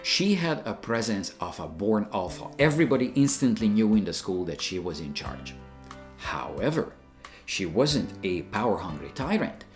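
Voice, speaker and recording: A man's voice: on the low side, very animated, moderate pace, faint music behind. An older man from Hungary, a retired teacher giving an emphatic, opinionated scripted narration.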